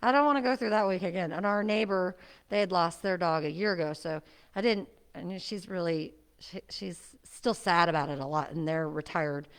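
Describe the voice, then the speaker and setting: A woman's voice: fairly low pitch, moderate pace, clear, resonant tone. A middle-aged woman, a fitness instructor, chatting casually before class, her voice muffled by a face mask. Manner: chatty, friendly, laughs often. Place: seniors' center exercise room.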